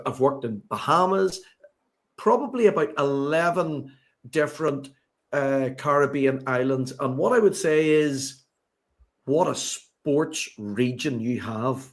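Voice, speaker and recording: A man with a Belfast accent, a sports consultant talking as a guest on a podcast, chatty and full of fillers and restarts. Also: mid-range voice. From Northern Ireland. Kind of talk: podcast interview.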